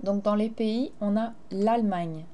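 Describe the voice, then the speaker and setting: A woman's voice: medium pitch, a little slow, dark tone. A teacher, a woman, explaining grammar and carefully modeling phrases in a recorded lesson. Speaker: French teacher.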